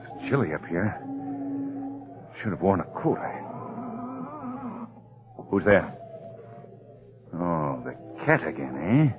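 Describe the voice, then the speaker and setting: An actor playing a bold, protective adventurer in a 1930s radio drama, terse and commanding, on a muffled old recording. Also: male voice, deep voice, very animated, takes it slowly, pauses often, music in the background, heavily acted. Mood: tense, brusque. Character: bold, brusque, protective male adventurer hunting a beast.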